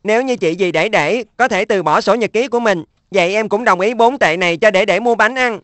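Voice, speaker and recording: Woman as an earnest, measured young schoolboy. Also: medium pitch, no room sound, natural voice. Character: thoughtful, dutiful young schoolboy, middle sibling. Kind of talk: cartoon dub.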